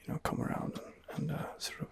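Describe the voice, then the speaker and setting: A man's voice: fast talker, no room sound. A man giving whispered ASMR commentary on a game as its host, in a hesitant, rambling manner. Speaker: gaming video host.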